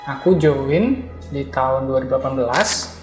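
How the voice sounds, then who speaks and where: A young man talks casually as an interview guest, explaining as he goes. His pitch is average. The room is noticeably echoey, with music clearly playing behind him. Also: lively intonation, normal speed. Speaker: interview guest, backend developer. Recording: roomy echo, music behind voice. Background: background music.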